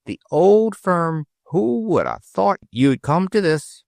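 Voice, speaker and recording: Man playing a Cockney city sparrow, saucy, chirpy, high, slow, storybook figure. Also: very animated, vocal fry, darkish tone, no echo, studio quality, heavily acted. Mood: cheeky.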